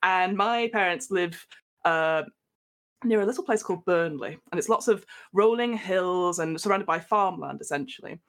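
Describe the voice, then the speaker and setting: A woman's voice: fairly low pitch, moderate pace. A woman with a northern English accent from northwest England, a podcast guest telling a story in a rambling, self-mocking way. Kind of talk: casual storytelling chat.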